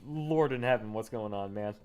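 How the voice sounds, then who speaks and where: A man's voice: on the low side, normal speed, a little mellow, soft background music. A man in his late twenties chatting casually into a condenser microphone for his vlog, rambling and correcting himself with lots of fillers. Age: 20s. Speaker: YouTuber. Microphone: condenser studio microphone.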